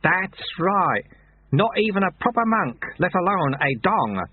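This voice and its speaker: A man voicing a cheerful, amiable old male temple sweeper who talks in an unhurried, gently teasing way. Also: high voice, sing-song, husky, no echo, voice pushed up, exaggerated voice. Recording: tinny phone-like sound.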